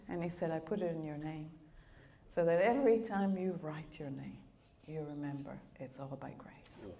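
A middle-aged woman missionary who grew up in Bolivia, preaching in a church and telling personal stories in an animated way, with a slight accent. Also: medium pitch. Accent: foreign accent of Italian and Latin American upbringing. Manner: animated, laughing, with playful quoted voices. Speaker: guest missionary speaker. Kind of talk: sermon with personal storytelling. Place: church auditorium with a stage. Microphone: handheld microphone at a pulpit, wide camera.

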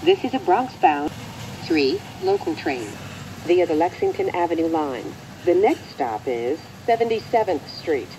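An American woman reading scripted transit announcements in a measured, formal manner. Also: fairly low pitch, expressive, warm tone, a little hiss or hum, poor audio.

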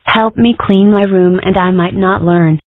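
A woman's voice: medium pitch, steady tone, measured pace, dry recording, natural voice. A woman voicing a scheming, bossy young fox girl in a comic strip dub, with a dramatic way of talking. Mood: mock menace. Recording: muffled narrow phone-like sound.

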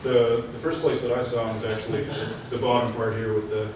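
A young man introduces a guest speaker at a student club meeting, his delivery flat and halting with plenty of fillers. He is picked up from far away in a cavernous, echoey lecture hall. Room noise hums beneath him. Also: medium pitch. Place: large lecture hall, indoors. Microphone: far camera, no mic seen. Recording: echoey hall, distant mic, background noise.

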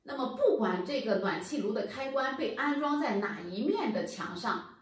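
A woman's voice: on the high side, animated, normal speed, far from the mic. Woman explaining in a very echoey room. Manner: patient, explanatory, addresses viewers directly. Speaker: HVAC channel presenter. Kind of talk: instructional explainer. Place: plain indoor room against a bare wall.

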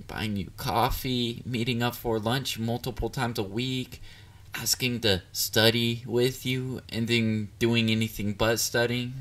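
A man playing a shy, clingy, excitable young catboy boyfriend in an intimate romantic audio roleplay, hesitant and easily flustered. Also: medium pitch, measured pace, natural voice. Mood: confessional. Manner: hesitant, vulnerable, flustered, with stammers.

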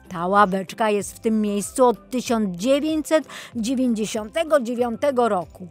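A friendly old male city guide, voiced by a woman from a children's audio story. He explains proudly and with animation. Soft music runs underneath. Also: mid-range voice, close to an everyday voice. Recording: music behind the voice.

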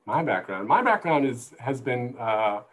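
Middle-aged man, mid-pitched voice, explanatory panel talk, thoughtful halting phrasing, video call on earbuds. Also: sing-song. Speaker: guest, zoo conservation manager. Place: indoor room, video call. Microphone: wired earbuds headset.

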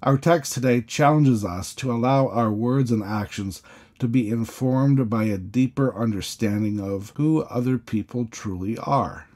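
A man, a priest, giving a measured sermon full of questions, each sentence opening higher and settling low. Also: a little mellow. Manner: measured, questioning preaching with rising openings and falling endings.

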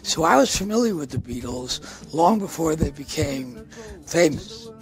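Older man, gravelly voice, reflective interview, faint music under. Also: a little high, expressive, measured pace. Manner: reflective, conversational. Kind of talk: sit-down interview recollection.

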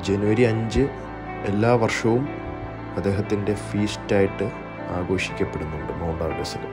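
Low-voiced man narrating over music. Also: moderate pace. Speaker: video narrator. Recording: music bed, some dull echoey passages.

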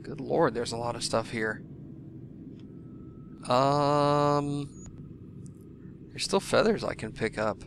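Young guy doing a let's play, kinda hoarse from being sick, rambly and joking at himself, bit of hiss behind. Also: medium pitch, slow, long pauses. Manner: rambling, self-deprecating asides. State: hoarse from recovering cold. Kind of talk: casual gameplay commentary.

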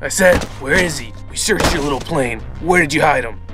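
A man's voice: on the high side, fairly quick, music in the background, natural voice. An actor playing a cocky, threatening hired assassin in a comic book audio drama, taunting his target.

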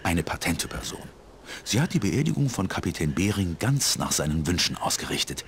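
An older man playing a kindly old village pastor in a game, measured, formal and genial. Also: sits low, very animated, a little hiss or hum. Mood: genial, serene. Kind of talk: scripted adventure game dialogue.

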